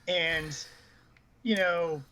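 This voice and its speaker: A man's voice: a little high, fairly even intonation, drawn-out words, bright, forceful tone. A man chatting casually and at length as a gaming podcast co-host, full of opinions. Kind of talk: casual panel chat about video games.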